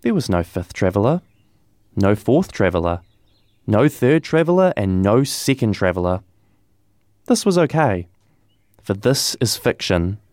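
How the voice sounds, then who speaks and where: Male poetry reader, expressive rise and fall, dry close recording. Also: fairly low pitch, no echo. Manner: deliberate, slow grave pauses. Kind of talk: measured poetry reading.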